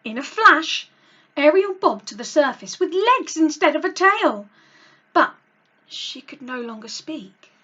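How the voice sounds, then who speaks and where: A woman tells a children's story in a fairly high, very lively voice, hosting a read-along. Her delivery is dramatic and expressive, pitched for young listeners. The sound is slightly dull and lo-fi. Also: measured pace. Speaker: storyteller, channel host.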